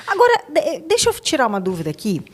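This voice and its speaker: A woman's voice: high voice, wildly swooping pitch, fast talker. A woman with a Brazilian accent hosting a podcast interview, talking in a conversational, reflective way and affirming her guests. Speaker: podcast host.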